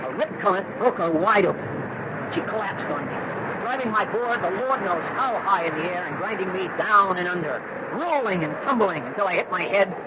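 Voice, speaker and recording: A man's voice: high-pitched, expressive, moderate pace. An actor playing a troubled young man, a surfer, in a 1970s radio drama. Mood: haunted, intense. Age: young adult. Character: pale, troubled young surfer recounting his near-death. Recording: dull, noisy old radio broadcast.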